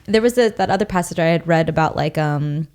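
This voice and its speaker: A woman's voice: low voice, fairly quick, darkish tone. A young woman co-hosting a podcast, reflecting in casual chat on ideas from her reading. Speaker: podcast co-host.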